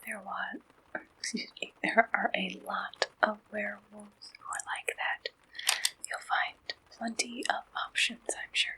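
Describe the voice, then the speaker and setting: A woman performing an ASMR roleplay, gently and deliberately asking questions like an interviewer. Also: medium pitch, steady tone, rough recording.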